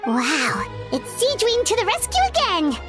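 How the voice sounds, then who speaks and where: A woman voicing a tiny, excitable female fairy companion in a game, who refers to herself in the third person. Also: very high-pitched, unhurried, heavily acted. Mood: excited. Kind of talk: game cutscene voice acting. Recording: game audio with music behind.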